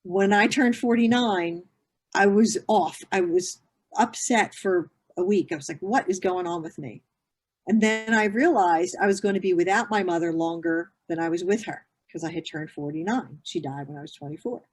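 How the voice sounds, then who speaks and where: A woman's voice: lowish voice, moderate pace, breathy edge. A woman in her fifties, a grief therapist, answering interview questions in an earnest, explanatory way with many fillers and restarts. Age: 50s.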